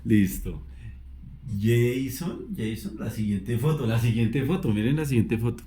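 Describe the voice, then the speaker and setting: A man's voice: mid-range voice, unhurried, mellow. A man hosting a podcast interview, reacting with short exclamations and greeting viewers. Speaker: podcast host. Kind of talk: casual interview.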